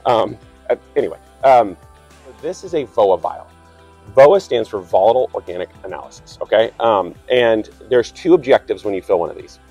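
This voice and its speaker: A man's voice: lowish voice, normal speed, slightly rough, soft background music. Man explaining plant sampling steps. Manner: relaxed, lots of ums and restarts. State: slightly raspy from a cold. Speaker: wastewater plant operator. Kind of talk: instructional demonstration. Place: outdoors by trees.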